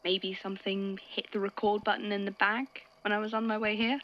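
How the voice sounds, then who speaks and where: A woman playing a weary, caring friend in an audio drama, talking in a hesitant, conversational, gently wry way. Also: medium pitch, little pitch movement, brisk, muffled recording, a little hiss or hum, close to an everyday voice. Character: worried, weary, caring friend at a hospital bedside. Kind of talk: naturalistic audio drama acting.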